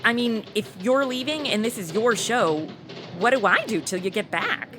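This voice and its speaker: A woman's voice: a little high, sing-song, moderate pace, over music. An actress playing a ditzy, flippant modern young woman, slangy and gushing, in a comedy audio drama. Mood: exasperated, flippant.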